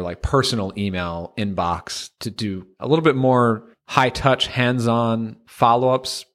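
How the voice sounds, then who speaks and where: Fairly low-voiced guy hosting, rambling questions full of "like". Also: a bit bright. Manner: loose, friendly questioning with many fillers. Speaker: podcast host. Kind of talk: casual interview talk.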